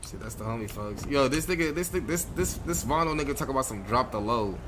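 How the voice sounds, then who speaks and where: A man's voice: mid-range voice, lively intonation, a little fast. A man improvising street role-play in an online game, brash and slangy, repeating his phrases. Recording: noisy gaming mic, vehicle ambience.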